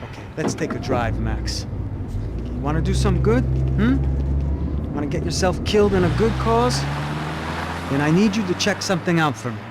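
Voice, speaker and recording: A sly, cynical police detective, played by a man talks in a sardonic, lecturing way. His voice sits a bit high. Loud street traffic runs behind him in this game cutscene. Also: animated, moderate pace, long pauses, natural voice. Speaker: police officer.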